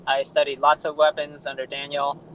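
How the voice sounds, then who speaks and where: Slightly higher-voiced male guest. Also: completely flat delivery, a little fast, a bit bright, muffled recording. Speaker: guest, martial artist. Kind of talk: casual interview.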